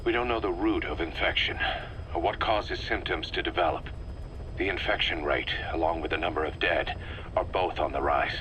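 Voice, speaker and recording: Forceful, stern military commander. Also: male voice, low voice, normal speed, slight background noise, rough recording. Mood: grave, accusing. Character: stern, suspicious male military commander giving briefings. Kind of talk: video game voice acting, scripted briefing.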